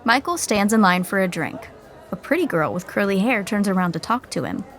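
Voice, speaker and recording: A woman's voice: mid-range voice, expressive, a little fast, some chatter behind, lightly acted. A woman playing a gossipy narrator of a scripted sitcom podcast, telling it with wry, chatty asides. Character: wry, gossipy female storyteller commenting on characters.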